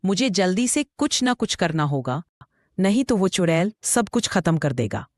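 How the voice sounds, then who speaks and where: A woman's voice: fairly low pitch, sing-song, normal speed, darkish tone, no echo, clean studio sound. A woman playing a devoted, determined village wife in a cartoon story, earnest and dramatic. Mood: alarmed, determined. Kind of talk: Hindi cartoon story voice acting.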